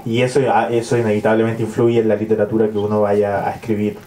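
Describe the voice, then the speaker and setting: A man with a Chilean Spanish accent, a writer answering interview questions with hesitant pauses. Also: fairly low pitch, monotone, warm tone. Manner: hesitant, many pauses and filler sounds. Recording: roomy, distant mic with faint ambience.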